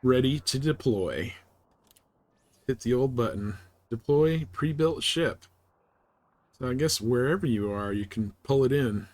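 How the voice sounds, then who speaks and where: A man's voice: mid-range voice, expressive, measured pace, pauses often, darkish tone. A man giving casual live gameplay commentary as a streamer, thinking aloud in short, laid-back fragments. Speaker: game streamer.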